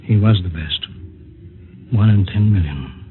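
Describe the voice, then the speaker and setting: A man's voice: deep voice, a little slow. An actor playing a harried, grave man running a rocket base, with an American accent, in 1950s radio drama style. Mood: grim, resigned. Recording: muffled old radio drama recording.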